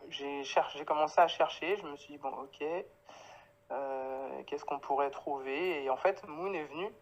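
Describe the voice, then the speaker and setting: A woman's voice: low-pitched, moderate pace, lo-fi sound. A woman from Paris, answering casually in an interview, feeling her way through sentences with frequent self-corrections. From Paris, France, of Guadeloupean family. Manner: hesitant, self-correcting, informal, trailing phrases. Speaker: interview guest, founder of a clothing brand.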